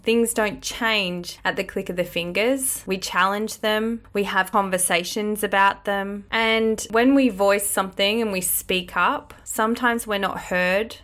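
A woman with an Australian accent hosting a podcast, talking in an earnest, slow and deliberate way. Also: mid-range voice, fairly bright tone. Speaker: podcast host. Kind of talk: reflective solo podcast talk.

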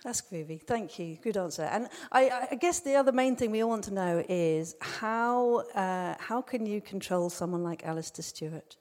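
A woman's voice: medium pitch, lively intonation, moderate pace, darkish tone. Informal woman host in a reverberant hall. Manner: jokey, giggly, informal. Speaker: host of the question-and-answer session. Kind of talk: casual live event hosting. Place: live event room at a festival. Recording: echoey hall, long reverb.

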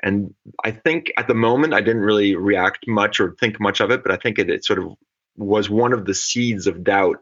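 A middle-aged man from California, an ecologist talking thoughtfully in a podcast interview, with measured pacing and occasional restarts. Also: lowish voice, fairly bright tone. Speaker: ecologist guest. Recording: dull lo-fi audio.

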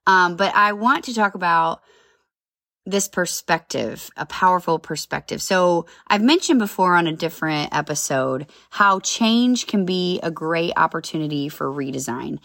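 This is a woman in her thirties chatting on her own podcast, upbeat and full of side notes. Her voice sits low, and a cold leaves it a little nasal and congested. The sound is dry and close, with no room around it. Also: expressive, moderate pace, gravelly, fairly bright tone, no echo. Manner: chatty, upbeat, rambling with asides. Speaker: podcast host. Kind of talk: casual solo podcast chat.

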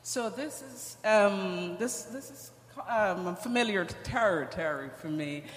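An older woman with a Guyanese accent, giving a personal testimony to a congregation in a measured, halting way with deliberate pauses. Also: medium pitch, lively intonation, a little hiss or hum. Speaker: church guest speaker sharing personal testimony. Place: church stage in a large room. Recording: echoey church hall with long reverb.

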